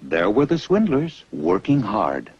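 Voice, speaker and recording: A man narrating a scripted fairy tale in an expressive storytelling manner. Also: on the low side, measured pace, muffled recording, loud music behind the voice.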